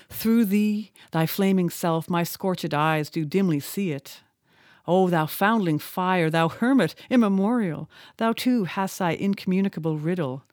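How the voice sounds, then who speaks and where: A woman's voice: lowish voice, dry recording, studio quality, natural voice. A woman voicing a defiant old male sea captain, declaiming in grand, impassioned speech.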